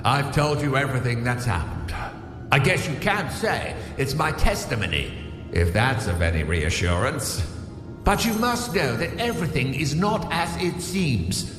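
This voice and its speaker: An actor playing a mysterious, earnest altered double in an audio drama, speaking cryptically. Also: male voice, mid-range voice, very animated, unhurried. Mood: earnest, cryptic. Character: mysterious, earnest altered version of another man. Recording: echoey hall reverb, music behind.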